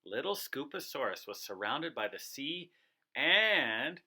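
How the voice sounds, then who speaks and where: A man narrating a children's storybook aloud in an expressive, dramatic storytelling style. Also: medium pitch, slow. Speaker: co-reader of a children's read-aloud.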